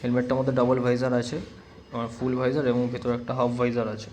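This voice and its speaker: A man's voice: mid-range voice, completely flat delivery, fast talker, a little mellow, slight background noise. A man giving a casual hands-on product demonstration as a vlogger, explaining plainly step by step. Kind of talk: casual hands-on tutorial narration.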